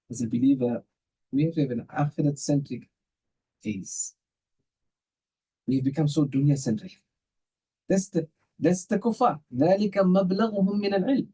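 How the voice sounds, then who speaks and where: A man's voice: fairly high pitch, mellow, breathy edge, low-quality recording. A man with a South African accent sharing religious reflections conversationally as a scholar, repeating phrases for emphasis. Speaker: Islamic scholar, podcast guest.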